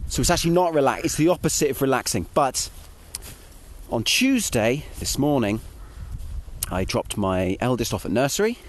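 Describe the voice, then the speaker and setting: A man's voice: medium pitch, lively intonation, normal speed. A British man recording a casual audio diary, talking in a wry, self-deprecating and hesitant way. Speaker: podcast writer and host. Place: outdoors on a walk.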